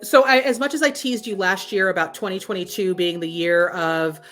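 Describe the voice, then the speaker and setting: Woman cohost chatting about tech, animated, lots of fillers, faint music behind. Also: medium pitch, normal speed. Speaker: co-host. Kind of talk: casual talk-show chat.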